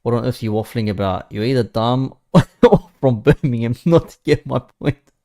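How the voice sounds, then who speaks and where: A man's voice: mid-range voice, normal speed, dark tone. A man, chatting casually and bluntly about football to his viewers. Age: 30s. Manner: blunt, sweary, mocking. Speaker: football streamer. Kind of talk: casual live chat.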